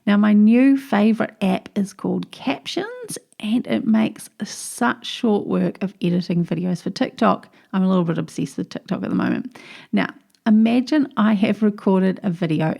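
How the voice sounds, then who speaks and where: A woman's voice: mid-range voice, expressive, normal speed, gravelly, dark tone. A woman with a New Zealand accent hosting her own podcast, explaining tips in an upbeat, chatty way. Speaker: podcast host. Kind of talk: solo podcast tips episode.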